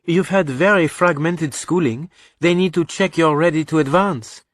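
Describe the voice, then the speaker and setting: A male narrator plays a mother in an audiobook, lifting the pitch. She is patient, firm and matter-of-fact, in a dry, close recording. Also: normal speed, no echo, lightly acted. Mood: explaining. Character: calm, firm mother of two boys. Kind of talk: audiobook character dialogue.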